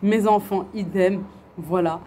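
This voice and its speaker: A woman's voice: medium pitch, fairly even intonation, slow pace, warm tone, slight background noise. A middle-aged woman from France, chatting earnestly to camera and answering viewers' questions, with many fillers and restarts. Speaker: family vlogger. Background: faint outdoor ambience.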